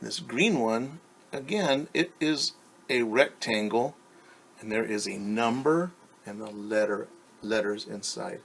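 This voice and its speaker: A man reading a picture book aloud to young children as their teacher, patiently asking them questions and pausing for answers. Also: fairly low pitch, gravelly, slight background noise. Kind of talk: children's storytime read-aloud.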